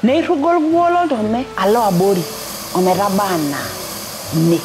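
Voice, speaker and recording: A woman in her thirties with a Kenyan accent, a native Maasai speaker, reading and presenting a children's story in an expressive, deliberate way. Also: medium pitch, slight background noise. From East Africa. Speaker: storyteller, host. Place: studio set, small furnished room with bookshelves, indoors.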